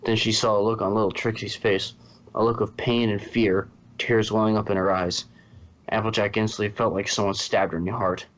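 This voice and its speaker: A man's voice: on the low side, little pitch movement, fairly quick, highs cut off. A man reading a story aloud as its narrator. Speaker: fan fiction reader.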